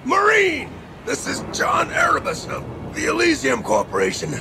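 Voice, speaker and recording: An actor playing a menacing corporate boss in a video game, issuing commands and threats in a halting delivery. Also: male voice, very high-pitched, very animated, vocal fry, music in the background, full character voice. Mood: commanding, threatening. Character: menacing male corporate boss demanding surrender.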